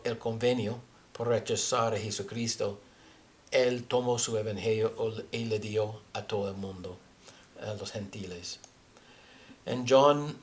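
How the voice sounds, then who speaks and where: A man with a foreign accent, a native English speaker, teaching scripture haltingly as he searches for words. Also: medium pitch, darkish tone. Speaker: religious teacher. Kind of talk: Bible teaching with scripture reading.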